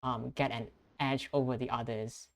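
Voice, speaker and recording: Casting: young male podcast host, mid-range voice, measured and earnest interviewer. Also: mid-range voice, little pitch movement. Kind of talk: conversational interview.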